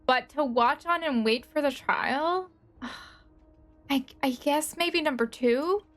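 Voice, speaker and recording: A woman's voice: high-pitched, slow pace, a bit bright. A woman streamer giving casual commentary as she plays a game, thinking aloud in a hesitant way.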